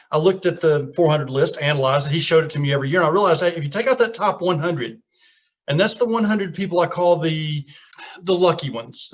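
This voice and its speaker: A man in his fifties from Tennessee with a Southern accent, telling stories as a guest on a radio talk show. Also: fairly high pitch. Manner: folksy storytelling, chuckles at own lines. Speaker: guest property manager. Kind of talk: casual radio interview.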